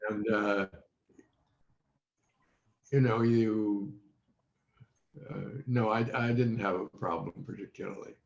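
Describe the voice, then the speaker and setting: Older male interview guest, slow and halting, reminiscing; echoey room sound. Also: fairly low pitch, fairly even intonation, gravelly. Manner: halting, deliberate. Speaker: interview guest, former child radio quiz contestant. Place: remote video call. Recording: dull, echoey video-call audio.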